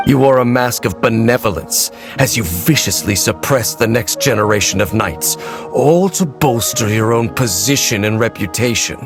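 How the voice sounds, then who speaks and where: An actor playing a stern, bitter immortal knight in a video game, speaking in a cold, measured, formal way. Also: male voice, lowish voice. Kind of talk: dramatic video game voice acting.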